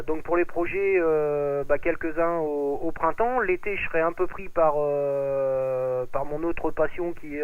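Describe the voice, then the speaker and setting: A man chatting casually as an amateur radio operator, with hesitant, drawn-out phrasing, over a narrow radio transmission. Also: a little high, dry recording. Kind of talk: casual radio contact chat, handing over the mic.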